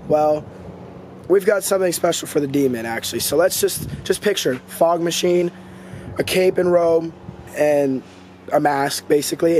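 A young man vlogs into a camera held at arm's length, his voice slightly high and raspy from a cold. He talks in an excited, rambling way, full of fillers. Faint outdoor hum sits behind him. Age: young adult. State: hoarse from a cold. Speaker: vlogger. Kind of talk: casual vlog talk to camera. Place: outdoors by a house and inside an auto upholstery shop. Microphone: handheld camera at arm's length.

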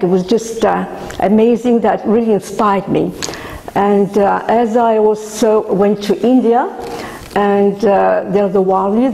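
An older woman, an artist talking casually at a gallery opening, halting with many fillers and restarts. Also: medium pitch, vocal fry, warm tone, echoey room, low-quality recording. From Australia. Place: indoor gallery room.

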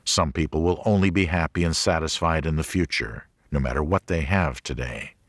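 Deep-voiced male audiobook narrator. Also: normal speed, no room sound, clean studio sound. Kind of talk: scripted narration of a religious audiobook.

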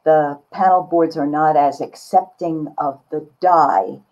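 Older woman, bright low-set voice. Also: fairly even intonation, takes it slowly. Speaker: guest artist. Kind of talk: casual reflective interview talk. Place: book-lined home studio room, indoors. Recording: slightly dull video-call audio.

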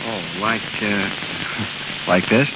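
An actor playing a shy, naive young American carnival lad with a folksy manner in 1930s radio drama. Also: male voice, fairly low pitch, steady tone, very slow. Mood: bashful. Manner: bashful, folksy. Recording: muffled, hissy, crackling 1930s radio recording.

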